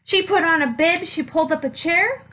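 A woman in her thirties reading a picture book aloud to children, with expressive, deliberate, emphatic phrasing. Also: very high voice. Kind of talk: children's read-aloud storytelling.

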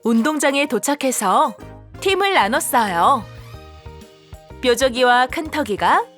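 A woman narrating a scripted storybook for young children in an animated, expressive manner. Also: sits high, a little slow. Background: children's background music.

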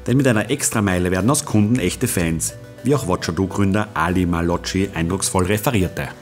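A man's voice: low voice, fairly quick, soft background music. A man reading scripted voice-over narration for an event report in an upbeat promotional way.

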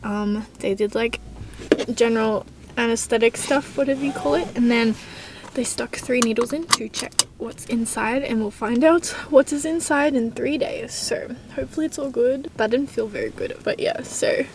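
A woman's voice: on the high side, moderate pace, background noise. Chatty young woman vlogging to her phone. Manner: chatty, with frequent fillers. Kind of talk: casual personal vlog. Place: car, bedroom and hospital room. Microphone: phone camera at arm's length.

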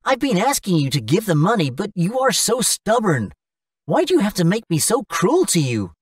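A man's voice: high voice, huge pitch swings, moderate pace, no room sound, clean studio sound, far from the mic. A man playing a spoilt young gambler son in a dramatic, self-pitying cartoon style. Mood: defiant. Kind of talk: English-language cartoon acting.

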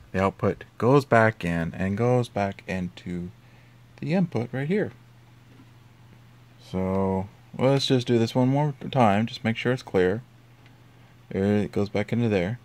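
A man with a low-pitched voice hosts a how-to tutorial. He explains in an informal, conversational way, as if talking a friend through a setup. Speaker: host of an audio tutorial.

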